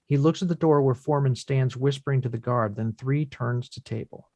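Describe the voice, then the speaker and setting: A man's voice: medium pitch, brisk, darkish tone, dry recording. Man narrating stage directions, dry sound. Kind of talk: scripted narration.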